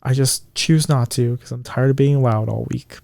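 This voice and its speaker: A man in his twenties co-hosting a casual podcast chat, swearing freely, his voice jumping high when he gets indignant. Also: fairly even intonation, moderate pace, vocal fry, darkish tone, dry recording, studio quality. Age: 20s. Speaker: podcast co-host.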